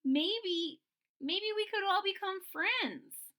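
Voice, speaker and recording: Lady doing a worried little dino kid in a picture book, pleading, unsure, high swoopy voice. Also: lively intonation, slow pace, sharp tone, exaggerated voice. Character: worried, wavering young dinosaur friends.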